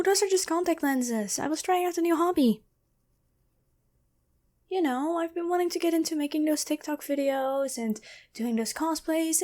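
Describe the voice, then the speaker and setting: A sly female demon in a stolen girlfriend's body, played by a woman for an ASMR roleplay. The voice is high and feminine, taking its time over each phrase. The delivery is intimate and teasing, with a taunting edge. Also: warm tone. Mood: feigned sweetness. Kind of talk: ASMR roleplay, addressed to the listener.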